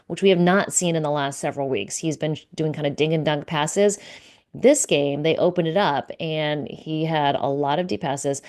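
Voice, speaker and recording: A woman's voice: deep voice, darkish tone. A woman reporter casually recapping team news, relaying coach quotes and reading out player stats with frequent fillers. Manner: conversational, many fillers, reads out stats and quotes. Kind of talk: casual solo recap to camera.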